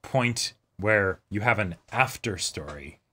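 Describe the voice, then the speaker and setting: Guy with a bright crisp-edged voice just chatting as co-host of a reaction show. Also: lowish voice, expressive, slow pace. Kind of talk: casual anime discussion chat.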